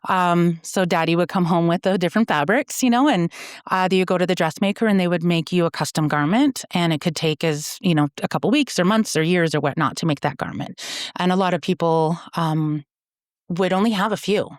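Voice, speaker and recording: A woman's voice: lowish voice, brisk, dry recording, clean studio sound. A woman from Canada, a company founder, explaining her work earnestly in a conversational interview, with frequent fillers and restarts.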